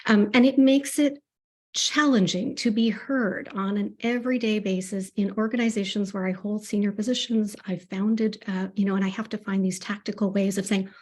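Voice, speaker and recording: A woman with a soft voice answering as a panelist, hesitant and repeating her words. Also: medium pitch, steady tone, moderate pace.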